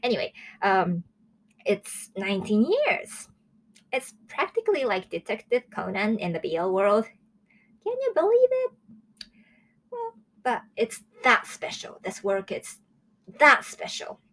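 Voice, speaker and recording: Woman, early twenties, from Japan, casual show host, chatty, exclamatory, animated intonation. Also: medium pitch, a little slow, long pauses. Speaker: host of a manga review show. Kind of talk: casual solo review chat.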